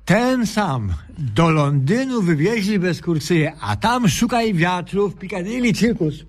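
Elderly watchman, flustered, lamenting, rustic speech, very swoopy intonation, slow, radio sketch. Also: high voice, sing-song, heavily acted. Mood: distraught. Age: older. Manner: rustic, ungrammatical, lamenting exclamations. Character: flustered elderly male watchman, plaintive and muddled. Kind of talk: radio comedy sketch acting.

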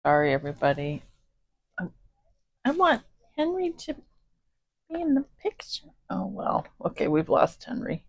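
A woman's voice: a little high, wildly swooping pitch, moderate pace, long pauses, dry recording. A woman hosting a home video, chatting casually and reading a psalm aloud, with chatty apologetic asides. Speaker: host reading scripture. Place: home, indoors, voice behind camera filming a cat.

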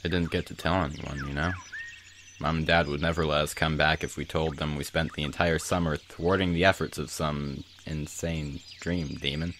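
Man acting a pensive, very deep-voiced teenage boy. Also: steady tone, normal speed, fairly bright tone, slight background noise. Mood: reflective, wistful. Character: earnest, reflective teenage boy adventurer, slightly nerdy. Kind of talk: dramatic fan comic dub.

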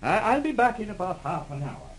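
An actor playing a gentle, eager, henpecked doctor in a 1930s radio drama, with a placating manner. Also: male voice, high-pitched, very animated, a little fast, slight background noise, low-quality recording. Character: mild, eager, henpecked surgeon husband.

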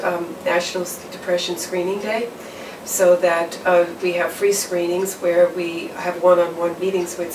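A middle-aged woman explains things in a measured, low and fairly flat voice, pausing on fillers and restarting phrases. The mic sits at a distance, so the sound is roomy, with steady background noise. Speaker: campus counseling professional. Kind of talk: interview answers. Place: office or studio in front of a backdrop.

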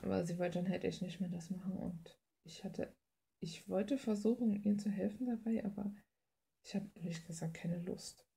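A woman's voice: on the low side, fairly even intonation, normal speed, vocal fry, warm tone, breathy edge. A woman chatting casually and informally as she draws, rambling in slangy language and thinking aloud. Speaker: artist hosting a drawing video. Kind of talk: casual rambling chat while drawing. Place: indoors at a drawing desk.

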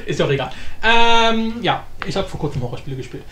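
A man hosting a casual software tutorial in a colloquial, mildly crude, joking way. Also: high-pitched, lively intonation, fairly quick, slight background noise. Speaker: tutorial host.

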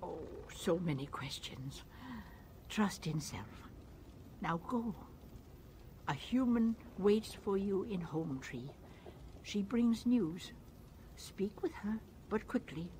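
Slow, mellow alien mystic. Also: female voice, medium pitch, lively intonation, a little hiss or hum. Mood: cryptic, commanding. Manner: cryptic, commanding, measured. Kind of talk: video game dialogue acting.

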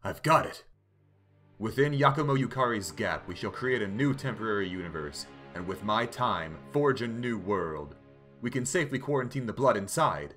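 A man's voice: lowish voice, lively intonation, normal speed, fairly bright tone. A man playing a devoted, composed young maid in an amateur comic dub, speaking earnestly and formally. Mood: resolute. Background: music.

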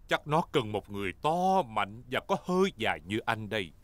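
A man's voice: on the low side, very animated, measured pace, no echo. A man voicing a confident, jolly father pig in a children's cartoon dub, boastful and reassuring.

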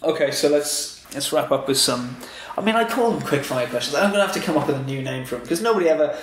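A man with a slightly high voice hosts a casual podcast interview. His speech is informal and conversational, and it sounds strongly echoey from the room. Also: expressive, fast talker, a little hiss or hum.

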